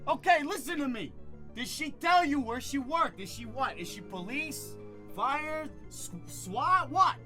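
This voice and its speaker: An actor playing a taunting, pleading brother in an audio drama, crude and wheedling. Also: male voice, very high-pitched, expressive, a little slow, bright, forceful tone, soft background music, heavily acted. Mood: irritated. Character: Lenny, eerie, taunting, pleading brother outside a door.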